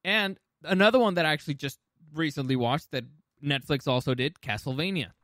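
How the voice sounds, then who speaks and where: Higher-voiced man in casual, opinionated chat. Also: brisk, a bit bright, no echo, studio quality. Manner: opinionated, profane. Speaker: podcast co-host. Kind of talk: casual chat about film and game adaptations.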